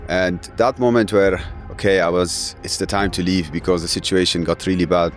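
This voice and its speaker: A man in his thirties, raised in Syria, speaking English as an in an earnest, halting way with many fillers. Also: low voice, fairly even intonation, faint music behind. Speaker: guest. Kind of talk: emotional interview.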